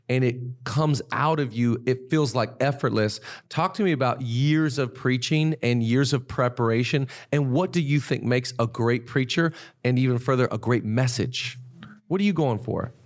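A man hosting a live onstage interview, effusive and full of praise for his guest. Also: mid-range voice. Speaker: host, interviewer. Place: live event before an audience.

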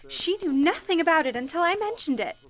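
A woman playing a steady, comforting telephone operator in an old-fashioned radio drama, speaking in a matter-of-fact way. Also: very high voice, a little fast, poor audio. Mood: reassuring. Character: reassuring, steady woman switchboard operator colleague. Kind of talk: 1940s radio drama acting.

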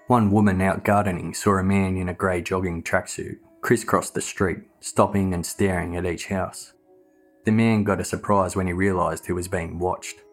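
A man reading scripted true crime narration in a measured, matter-of-fact way. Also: low voice.

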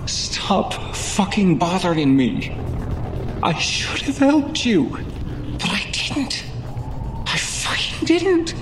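A man playing a frightened, guilt-ridden shop worker, telling his horror story in an intense, halting, gravelly delivery. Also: mid-range voice. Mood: desperate, angry. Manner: intense, halting, breaking into anguished shouts. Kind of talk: dramatic first-person horror narration. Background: music.

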